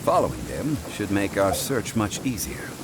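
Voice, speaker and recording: A composed scholarly game gentleman, really low voice, formal, bit of background noise. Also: big pitch swings. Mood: calm, scholarly. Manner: measured, formal, erudite explaining. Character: calm learned gentleman scholar, adult man, composed and formal. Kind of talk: video game voice acting.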